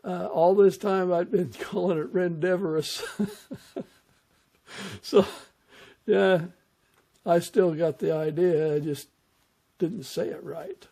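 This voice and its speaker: A man's voice: sits high, fairly even intonation, slow, creaky, pauses often, mellow. A man hosting a podcast, asking questions and chatting in a conversational interview style.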